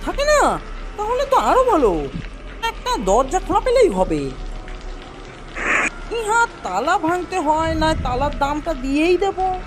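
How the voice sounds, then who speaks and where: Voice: very high-pitched, big pitch swings, a little slow, long pauses, music in the background, voice pushed up, exaggerated voice. A storyteller voicing bold, undaunted young men who banter and argue cheekily in a dramatised horror story. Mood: bold, mocking.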